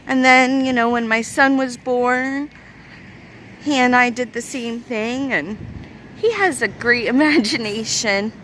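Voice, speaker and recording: A woman in her late thirties with a youthful, fairly high voice talks slowly and loosely to her phone camera. She rambles and hesitates, and there are plenty of ums. Faint traffic noise sits behind her outdoors. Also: a bit bright. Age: 30s. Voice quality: young-sounding voice. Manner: rambling, hesitant, many fillers. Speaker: vlogger. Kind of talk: casual chat to camera.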